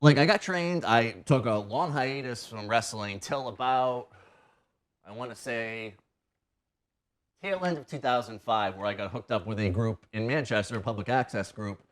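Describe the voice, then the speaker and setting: A man's voice: mid-range voice, normal speed. A man in his thirties from New Hampshire, a guest wrestler chatting in a TV studio and rambling through many fillers. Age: 30s. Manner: rambling, heavy on "you know" and "like" fillers. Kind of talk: casual interview chat. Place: TV studio set.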